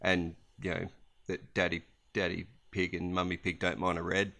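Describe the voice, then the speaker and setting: Deep, bright-toned male podcast co-host. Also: completely flat delivery, moderate pace, creaky. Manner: hesitant, dry wit, many ums. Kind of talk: casual chat.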